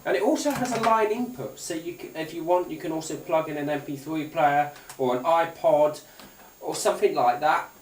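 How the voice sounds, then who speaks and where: British man, roomy distant recording, higher-pitched. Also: normal speed, hardly any pauses. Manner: hesitant, rambling, unscripted. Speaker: gadget reviewer. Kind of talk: casual product demonstration. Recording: roomy, distant mic, faint noise.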